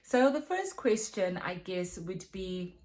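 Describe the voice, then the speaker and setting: Woman from Zimbabwe, slightly low voice, casual phone-recorded vlog, chatty with fillers, ministry leader answering questions. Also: big pitch swings, slow, darkish tone. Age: adult with children. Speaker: vlogger and women's ministry leader. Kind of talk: casual self-filmed Q&A.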